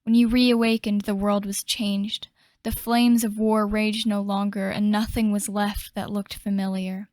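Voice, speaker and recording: A woman playing a solemn storyteller, narrating a fantasy game legend in a measured way. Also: medium pitch, little pitch movement, a little mellow, dry recording, clean studio sound, lightly acted.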